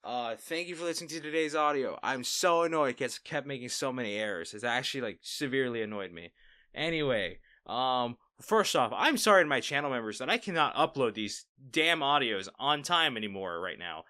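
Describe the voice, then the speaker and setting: A man hosting an audio channel, chatting casually to listeners in an animated, rambling way and stumbling over words. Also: medium pitch, a little fast. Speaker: audio roleplay creator and host.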